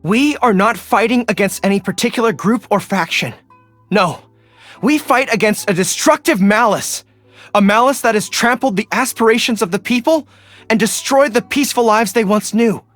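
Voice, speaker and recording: A man's voice: sits high, moderate pace, a bit bright, no room sound. A man voicing an earnest, loyal young dog-eared general in a video game, polite and dutiful, speaking as a young adult. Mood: solemn, determined. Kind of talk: video game character voice lines. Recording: studio voice over background music. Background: music.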